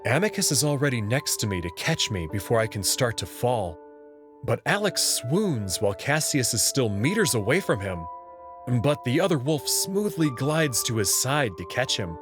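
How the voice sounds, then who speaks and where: A man reading a visual novel aloud in the first person, expressive and prone to sudden outbursts. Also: mid-range voice, moderate pace, over music.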